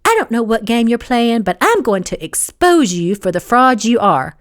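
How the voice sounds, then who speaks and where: A woman's voice: medium pitch, very animated, normal speed, close to an everyday voice. A woman voicing a haughty, spiteful young woman in an audiobook, with a snappy, condescending delivery. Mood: accusing. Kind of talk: audiobook character dialogue.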